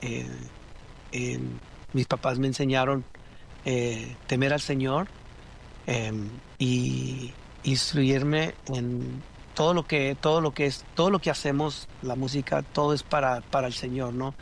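A man's voice: mid-range voice, fairly even intonation, a little slow, gravelly, a little hiss or hum. A man, telling his story as a guest in a casual interview, with many restarts and fillers. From Rio Grande Valley, Texas.

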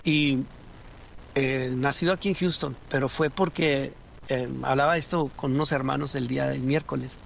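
A man's voice: mid-range voice, fairly even intonation, moderate pace, gravelly, slight background noise. A man, telling his story as a guest in a casual interview, with many restarts and fillers. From Rio Grande Valley, Texas.

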